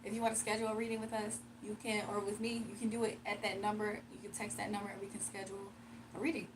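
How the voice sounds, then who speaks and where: A woman's voice: medium pitch, level tone, fast talker, background noise. A woman hosting a live stream, chatting casually with viewers and doing card readings, her voice a little strained from nearly losing it. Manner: chatty, reads out viewer comments, laughs often. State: slightly strained, recovering voice. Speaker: livestream card reader.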